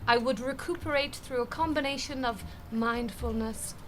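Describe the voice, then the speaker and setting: An actress playing a melodramatic, bossy and overwrought office manager in a scripted audio comedy. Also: female voice, high voice, moderate pace, slight background noise, natural voice. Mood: bossy, decisive. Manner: theatrical, swings from panic to forced calm.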